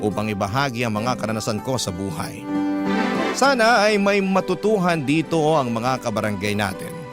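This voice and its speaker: Male radio narrator over loud guitar music. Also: mid-range voice, sing-song, normal speed. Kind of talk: scripted dramatic narration of listener letters. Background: loud guitar music bed.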